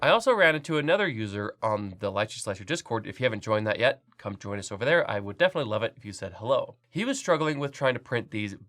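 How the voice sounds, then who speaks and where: A man's voice: fairly low pitch, sing-song, fast, few pauses, fairly bright tone. A man hosting a casual product review while congested with a cold, his voice nasal, chatty and loose with fillers and restarts. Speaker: 3D printing channel host.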